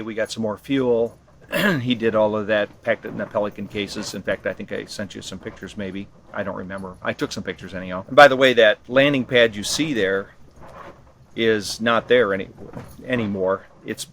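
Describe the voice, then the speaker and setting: A man with a fairly low voice talks casually, as if answering interview questions. He rambles a little, stopping to rephrase and correct himself. There is clear background noise on the recording. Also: a bit bright. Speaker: interviewee, ham radio expedition team member. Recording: clearly noisy location sound.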